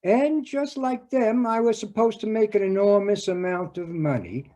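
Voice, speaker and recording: A man acting a dramatic monologue as an earnest, conflicted man confiding in a halting, reflective way. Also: high-pitched, warm tone, natural voice. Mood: wry, wistful. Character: earnest, conflicted man confiding about money, love and conscience. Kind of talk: dramatic stage monologue in readers theater.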